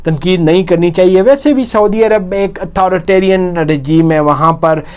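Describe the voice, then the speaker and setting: A man's voice: high voice, normal speed, a little mellow, clean tone. A man with a Pakistani accent presenting news with explanatory commentary, often rephrasing and repeating his points.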